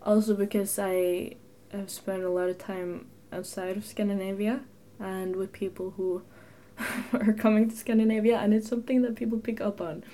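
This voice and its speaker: A woman's voice: mid-range voice, little pitch movement, normal speed, dark tone, a little hiss or hum. Young woman, Norwegian native, casual podcast chat, filler-heavy, laughs often. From Norway. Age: young adult. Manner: chatty, laughs easily, frequent fillers. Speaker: podcast co-host.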